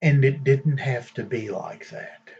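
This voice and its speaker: A man hosting his own channel, giving casual news commentary in a slow, deliberate way with fillers and long pauses. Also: medium pitch, darkish tone, slightly rough, highs cut off. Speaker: vlog host.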